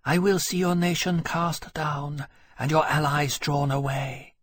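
A man voicing a cool, disdainful female dragon who speaks slowly and bitterly. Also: on the high side, animated, husky, no room sound, voice pushed up. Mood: menacing. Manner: cool, contemptuous, slow and measured.

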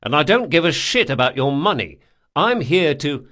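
A man narrating an audiobook, voicing a brash, sardonic thief who speaks forcefully, with menace and exasperation. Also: a little high, sing-song, normal speed, voice pushed up. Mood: sardonic, cocky. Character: brash, sardonic male thief, threatening, foul-mouthed.

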